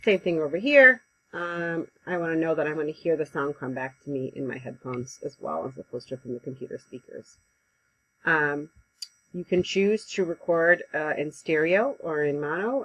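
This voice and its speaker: A woman giving a casual, conversational software tutorial over a headset mic, with frequent ums. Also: sits low, a little hiss or hum, rough recording. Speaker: instructor. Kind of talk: screen-recorded software tutorial.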